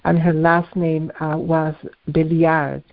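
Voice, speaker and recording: Measured man on a tinny phone line. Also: on the high side, monotone, vocal fry. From Dominican Republic. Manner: measured, searching, with frequent restarts. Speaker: artist guest. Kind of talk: reflective interview talk.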